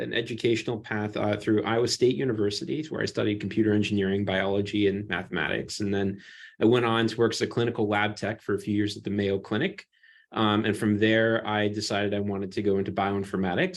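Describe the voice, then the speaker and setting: A man speaking as an academic research panelist, introducing himself on a webinar with frequent pauses and ums. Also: fairly low pitch. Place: remote webinar call.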